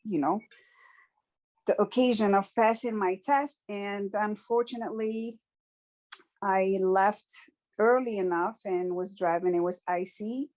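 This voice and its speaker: A woman from Morocco, speaking as a guest in a conversational interview. She talks earnestly and reflectively, often pausing and restarting her sentences. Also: lowish voice, a little mellow. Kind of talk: conversational personal testimony.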